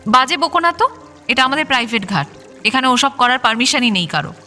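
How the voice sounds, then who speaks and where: A woman's voice: sits high, very animated, fairly quick, sharp tone, soft background music, full character voice. A woman acting a glum, pouting young niece in a radio drama. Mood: pouting, annoyed.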